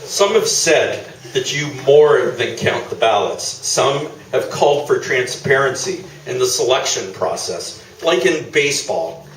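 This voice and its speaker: Skeptical, needling interviewer played by a male actor, mid-pitched, dry comic delivery, roomy live theatre sound. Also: big pitch swings, a little slow, a bit bright. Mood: dryly skeptical. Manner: dry, sardonic, challenging. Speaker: radio play actor. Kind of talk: comic radio play performed live on stage. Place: small theatre, live performance. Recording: echoey theatre, distant mic, noisy.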